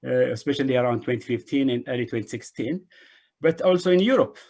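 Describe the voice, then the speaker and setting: A man's voice: mid-range voice, lively intonation, fast talker, darkish tone. A middle-aged man giving a conference presentation over a video call, repeating words and phrases to drive points home. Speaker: invited speaker, refugee organization director. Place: small indoor room, remote video call.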